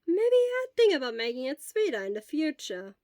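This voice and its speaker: A woman voicing a kindly but stern female school nurse in an audiobook, speaking in a measured, firm, explanatory way. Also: sits high, huge pitch swings, a little mellow, no room sound, clean studio sound, pitched above the performer's own voice. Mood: gently explaining. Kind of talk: acted audiobook dialogue.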